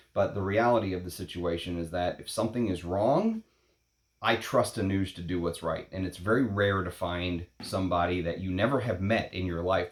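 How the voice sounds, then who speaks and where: A man in his late thirties hosting a perfume vlog, talking casually in a rambling, opinionated way, blunt and quick to swear. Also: low-pitched. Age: 30s. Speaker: perfume channel host. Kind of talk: casual unscripted vlog talk. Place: at home in Texas.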